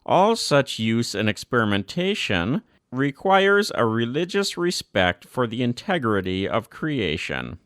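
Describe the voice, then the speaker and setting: A man reads a formal written text as a narrator, in a measured and scripted way. His middle-pitched voice moves animatedly up and down across phrases. The sound is dry, with no sense of room around it. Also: sing-song, fairly bright tone, no room sound. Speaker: audiobook narrator. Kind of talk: scripted narration, reading a formal document aloud.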